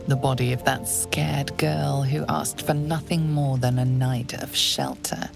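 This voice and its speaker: A woman playing the brooding narrator of a grim fantasy video game, speaking deliberately and ominously in literary phrases, with music behind her. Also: extremely low pitch, fairly even intonation, vocal fry, exaggerated voice. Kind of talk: scripted dramatic second-person game narration.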